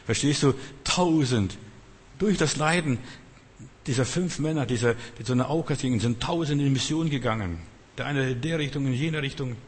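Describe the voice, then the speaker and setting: A man's voice: mid-range voice, brisk, slightly rough, in a large hall. A man preaching off the cuff as a non-native speaker, emphatically repeating phrases and addressing his listeners directly. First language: not German. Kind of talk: extemporaneous sermon.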